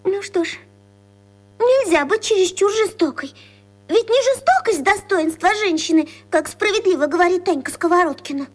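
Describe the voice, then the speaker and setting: A woman's voice: very high-pitched, lively intonation, normal speed. A woman playing a self-important, lovestruck young schoolgirl in a children's radio play, theatrical and bossy. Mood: lofty resignation.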